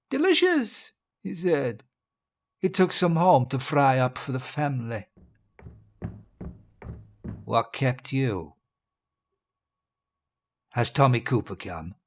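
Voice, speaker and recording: Audio drama lead: grandiose murderous dictator, breathy rasp, theatrical delivery with big swings in pitch, sinking into murmurs. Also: male voice, fairly bright tone, heavily acted. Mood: darkly amused, then weary, grandiose. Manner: pompous, theatrical, savouring words, drifting into murmurs. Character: deposed-feeling murderous dictator facing death, grandiose and bitter.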